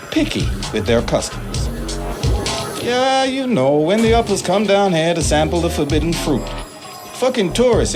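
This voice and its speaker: An actor playing an easygoing, folksy and wry bartender in a video game. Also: male voice, high-pitched. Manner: folksy, familiar. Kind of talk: video game cutscene acting.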